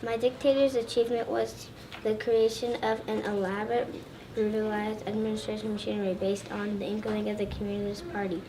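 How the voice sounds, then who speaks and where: A child of about eight or nine reciting a scripted first-person school presentation as a historical figure. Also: medium pitch. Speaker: third grade student presenter. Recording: distant mic, loud room noise.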